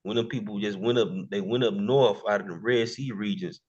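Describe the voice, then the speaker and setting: A man's voice: lowish voice, a little fast, darkish tone, slightly rough, lo-fi sound. A man in a casual podcast chat, talking in slangy, profane street speech about history and ancestry.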